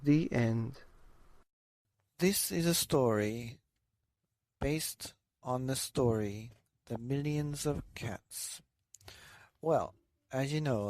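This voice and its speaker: A man narrating a bedtime story for a podcast, deliberate, with dramatic pauses. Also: medium pitch, a little mellow, dry recording.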